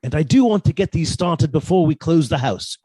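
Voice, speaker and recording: An actor playing a meek, henpecked professor, mild and deferential, in a suspense radio play. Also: male voice, fairly high pitch, lively intonation, a little fast, mellow, no room sound. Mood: mild, apologetic. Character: meek, henpecked British professor husband, quietly fussy.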